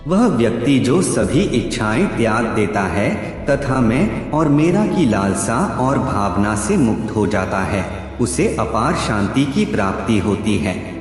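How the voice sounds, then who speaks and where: Slow, echoing man narrating spiritual teachings. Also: fairly low pitch, sing-song. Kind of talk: scripted inspirational narration of spiritual quotes. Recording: very echoey reverberant voice track. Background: music.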